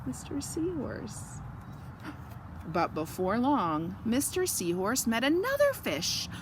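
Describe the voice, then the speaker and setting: Slow, animated woman reading to children. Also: fairly high pitch, noisy background. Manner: gentle, explanatory, questioning young listeners. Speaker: children's story reader. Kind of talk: read-aloud picture book with asides to children.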